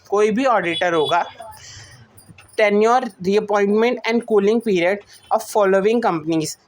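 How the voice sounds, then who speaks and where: A woman with an Indian accent giving an explanatory lecture, mixing in English terms and rephrasing each point step by step in a didactic way. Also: mid-range voice. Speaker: teacher.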